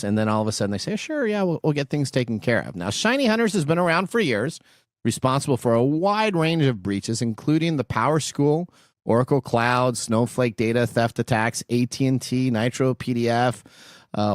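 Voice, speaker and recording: Male talk radio host for tech news discussion. Conversational explainer, medium pitch. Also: dry recording, clean studio sound.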